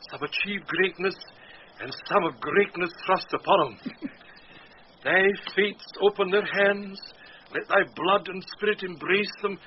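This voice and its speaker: An actor playing a pompous, vain and gullible household steward in a theatrical Shakespearean radio drama, savouring and drawing out his words. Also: high-pitched. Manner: pompous, savouring and drawn-out delivery. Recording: muffled, noisy old radio broadcast.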